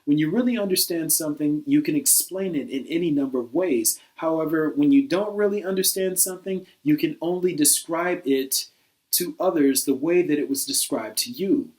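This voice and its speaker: A man playing a patient, reasoning skeptic in a satirical dialogue, putting pointed questions in a measured, articulate way. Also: fairly high pitch, a little mellow, lightly acted.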